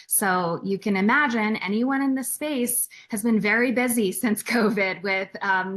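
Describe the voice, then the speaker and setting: A woman's voice: medium pitch, a bit bright. A woman executive introducing her work on an online panel in a fluent, businesslike manner. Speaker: guest executive.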